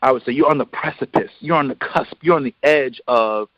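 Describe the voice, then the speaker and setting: A man's voice: mid-range voice, fairly quick, a bit bright, dry recording. A man interviewing conversationally over the phone, emphatic and repeating phrases as he builds his point. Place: phone call. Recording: dull lo-fi phone line.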